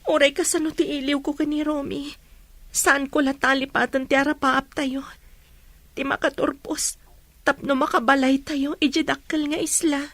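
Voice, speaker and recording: An actress plays a grieving young Filipino woman who clings stubbornly to hope. Her voice is very high and sits close and dry, in radio drama style. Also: flat delivery, moderate pace, a bit bright, dry recording. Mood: sorrowful resolve. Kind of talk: Ilocano radio drama acting.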